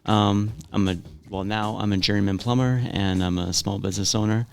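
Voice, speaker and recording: A man's voice: on the low side, steady tone, a little fast, soft background music. A man from Saskatchewan co-hosting a casual podcast, laid-back and curious, asking questions with lots of "like". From Saskatchewan, Canada. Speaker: podcast co-host.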